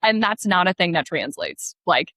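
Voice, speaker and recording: A woman's voice: fairly low pitch, very animated, normal speed, a bit bright, dry recording. An American woman in her thirties, a guest author chatting animatedly and laughing between points. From United States. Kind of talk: casual interview chat. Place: her home in Portugal.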